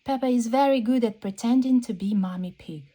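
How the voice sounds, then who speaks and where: A woman reading a children's story aloud in an expressive, unhurried way, with playful touches for preschoolers. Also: fairly high pitch. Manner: animated, unhurried, adds playful sound effects.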